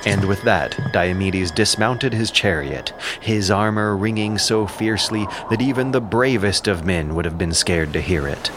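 A man's voice: on the low side, normal speed, few pauses, heavy background noise. A man narrating an epic audio drama as a scripted story, with dramatic, solemn delivery. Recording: loud music and sound effects behind. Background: loud dramatic music.

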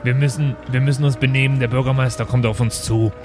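A man takes part as a player in a tabletop role-play show. He talks casually, improvising as he goes, in a medium-pitched voice. Music is clearly audible behind him. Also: steady tone, quick pace. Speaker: player in a tabletop game podcast.

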